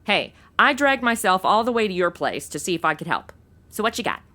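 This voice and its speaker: A woman's voice: medium pitch, big pitch swings, brisk, pushed and bright. An actress playing a breezy, scatterbrained young modern woman in a comedy audio drama, flippant and full of slang. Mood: chatty, breezy. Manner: flippant, slangy Valley-girl phrasing with "like" and OMG. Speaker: lead character and narrator.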